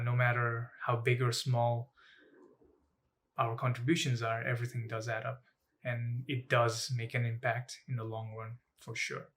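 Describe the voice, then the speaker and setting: Young male student speaker. Measured, pausing phrasing, level intonation, mid-range voice, earnest advocacy talk. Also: mid-range voice, a bit bright. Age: young adult. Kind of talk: personal advocacy talk to camera.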